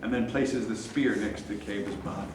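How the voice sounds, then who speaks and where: A man's voice: on the low side, fairly even intonation, normal speed. A man reading scripted stage directions as narrator at a staged play reading. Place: echoey room at a staged reading. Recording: very echoey room, distant mic, clear noise.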